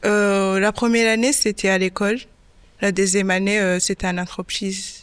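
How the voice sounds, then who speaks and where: Rwandan woman in her 20s, non-native speaker, halting answers, smooth even voice, dry sound. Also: medium pitch, dry recording. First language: not French. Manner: simple halting French with many restarts. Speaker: interview guest, web development apprentice. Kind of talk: interview answers.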